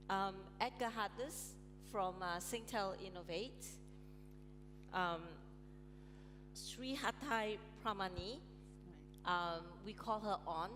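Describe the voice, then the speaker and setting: A woman moderating a conference panel on stage, chatty, with frequent ums and light laughs. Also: medium pitch. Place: large conference hall on stage. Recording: reverberant hall, music playing.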